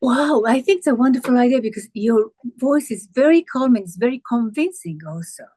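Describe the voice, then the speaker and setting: A woman with a fairly high voice hosts an interview in a dry, close recording. Her first language is Japanese. She is eager and complimentary and keeps affirming what she hears. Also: animated, a little fast, mellow, no echo. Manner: enthusiastic, complimentary, with many affirmations. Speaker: interviewer, pianist host. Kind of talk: interview conversation.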